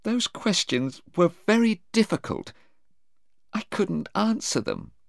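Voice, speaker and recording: A man's voice: a little high, big pitch swings, extremely slow, dry recording, studio quality, voice pushed up, exaggerated voice. A man voicing a distressed, defensive young woman in an audiobook, hesitant and pleading. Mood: upset, angry. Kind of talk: audiobook character voice.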